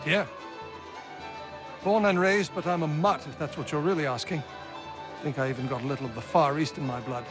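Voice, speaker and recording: A man's voice: a little high, fairly even intonation, a little fast, long pauses, over music, natural voice. An actor playing a plainspoken, principled, hard-drinking frontier man in a video game, with a blunt, folksy manner. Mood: frank.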